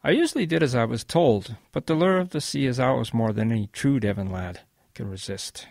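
A man's voice: mid-range voice, expressive, fairly quick, vocal fry, darkish tone, a little hiss or hum. An actor playing a patient old grandfather telling of his wartime boyhood in a radio drama. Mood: wistful storytelling.

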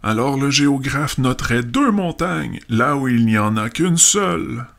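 Creaky, pompous old geographer. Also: male voice, medium pitch, lively intonation, a bit bright. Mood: pedantic, self-important. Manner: pompous, deliberate, pedantic. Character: self-important old scholarly geographer. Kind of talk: audiobook story dramatization.

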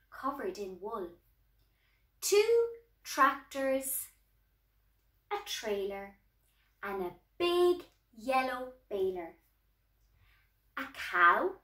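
A woman in her thirties with an Irish accent, reading a children's storybook aloud in a deliberate, sing-song way while signing. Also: high-pitched, fairly bright tone, distant mic. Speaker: sign language tutor reading a storybook. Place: small indoor room at home. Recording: echoey small room.

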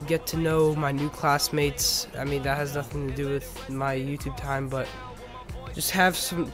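Teenage boy gamer with a cold, over music. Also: a little high. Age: teenager, about 15. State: slightly sick. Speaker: gaming video host. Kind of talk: casual gameplay commentary.

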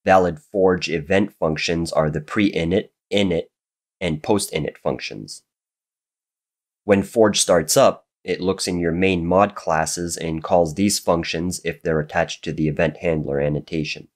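A man with a very low voice walks through a coding tutorial as a voice-over. A cold leaves him a little raspy and nasal, and he explains each step in a steady, methodical way. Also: measured pace. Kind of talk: screen-recorded programming tutorial narration.

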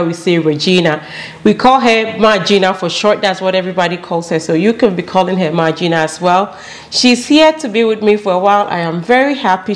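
Ghanaian woman hosting a home cooking show. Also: mid-range voice. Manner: friendly, talks straight to viewers. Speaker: cooking channel host. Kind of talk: casual introduction to camera. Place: home kitchen, indoors.

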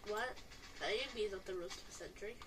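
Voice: mid-range voice, fast talker, fairly bright tone. A female co-commentator chatting casually during a game, often questioning and interjecting. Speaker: gaming co-commentator. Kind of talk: casual gaming chat. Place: indoor room, filming a TV screen. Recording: noisy room recording with clicking.

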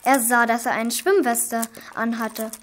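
A young schoolgirl narrates a scripted children's story. Her voice is high, and the amateur recording has clear background noise. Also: fairly even intonation, a little slow. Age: children of primary school age.

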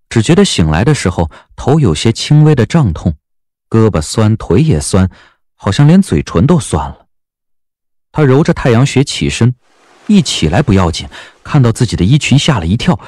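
A man narrating an audio novel as scripted dramatic storytelling, with a measured, expressive literary delivery. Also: lowish voice, no echo.

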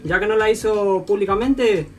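Young man posing as halting Chilean school director. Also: high voice, darkish tone, distant mic, faint music behind, lightly acted. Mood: insistent, polite. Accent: imitated Chilean. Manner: halting, formal, apologetic. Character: formal, polite Chilean male school director. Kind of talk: prank phone call.

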